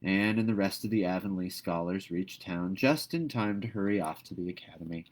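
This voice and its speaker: A man reading a classic storybook aloud as narrator, in an expressive scripted style. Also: sits low, fairly quick. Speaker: audiobook reader.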